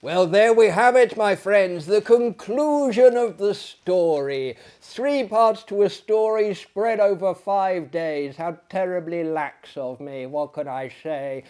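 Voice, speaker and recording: A man's voice: sits high, slow pace, hardly any pauses, darkish tone, clear, resonant tone. A man telling a classic story as a dramatic reader, with hammy, pompous delivery and heavy emphasis. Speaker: storyteller, host of a story reading series.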